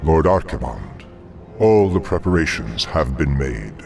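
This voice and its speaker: An actor playing a dutiful, sinister demon lieutenant in a fantasy video game, formal and deferential. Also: male voice, extremely low pitch, very animated, measured pace, vocal fry, faint music behind, exaggerated voice. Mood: obedient.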